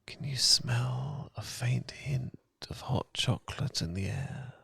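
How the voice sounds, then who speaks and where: A British man speaking as a loving boyfriend in a soft, husky, often whispered ASMR style, gentle, tender and unhurried, close to the microphone. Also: lowish voice, expressive, no room sound. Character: loving, soothing boyfriend comforting his partner. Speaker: ASMR audio performer. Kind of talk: ASMR, slow romantic guided relaxation. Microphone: close microphone, dry.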